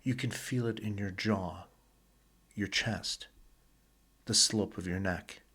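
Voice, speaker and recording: A man narrating a sleep hypnosis session in a husky, breathy voice, with a slow, deliberate, soothing delivery and short pauses between phrases. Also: fairly low pitch, sharp tone.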